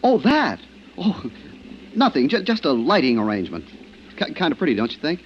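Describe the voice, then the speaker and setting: An actor playing a nervous, secretive, stubborn family man in an old radio drama, halting and stammering but insistent. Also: fairly high pitch, huge pitch swings. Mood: nervous, defensive. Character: jittery, secretive, stubbornly possessive suburban husband and father. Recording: muffled, hissy vintage radio recording.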